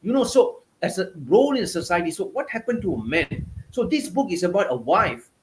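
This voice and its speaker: A man's voice: sits high, big pitch swings, brisk, a little hiss or hum. A man with a Malaysian accent, a guest author giving passionate, emphatic interview answers over a video call. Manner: passionate, emphatic, repetitive phrasing.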